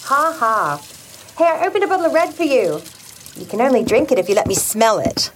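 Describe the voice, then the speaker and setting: A woman's voice: on the high side, very animated, a little fast, slight background noise, rough recording. An actress playing a tired but teasing woman in an audio drama, chatting playfully at home. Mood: weary, teasing. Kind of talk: full-cast audio drama acting.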